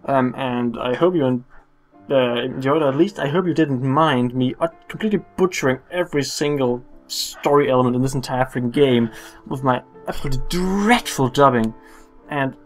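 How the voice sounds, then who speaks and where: A man's voice: medium pitch, moderate pace, faint music behind. A young man giving casual, joking game commentary in a playful, self-mocking way. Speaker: let's play host.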